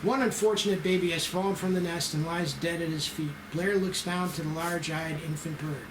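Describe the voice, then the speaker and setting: A man's voice: fairly high pitch, steady tone, a little fast. A man narrating scene directions aloud at an amateur screenplay table read. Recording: roomy echo with clear noise.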